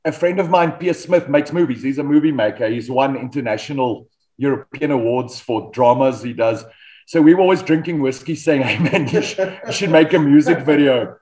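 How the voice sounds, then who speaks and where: A man's voice: medium pitch, brisk. South African man talking through a video call. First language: Afrikaans. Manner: relaxed storytelling, frequent ums and restarts. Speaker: metal band vocalist, guest. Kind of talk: casual video-call interview. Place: home studio with band posters. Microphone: desk microphone on video call.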